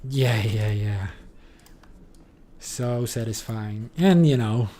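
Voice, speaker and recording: Guy streaming a game, slow rambly talk, lots of uhs and some swearing, faint hum behind. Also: fairly low pitch, mellow. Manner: rambling, many fillers, loose and profane. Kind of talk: casual gameplay commentary.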